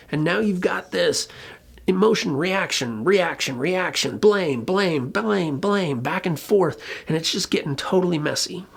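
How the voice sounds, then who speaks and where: A presenter giving an informal explainer talk in a colloquial, emphatic way, with a gravelly, husky voice. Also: mid-range voice. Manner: colloquial, emphatic, occasionally swearing. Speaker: presenter explaining relationship conflict.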